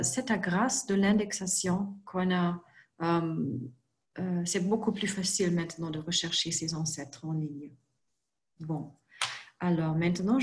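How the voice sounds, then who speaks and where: A woman walks through a screen-share tutorial in a fairly low voice. She speaks in a very slow, careful way and fills her pauses with frequent hesitations. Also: a little mellow. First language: not French. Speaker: presenter for a genealogy organization.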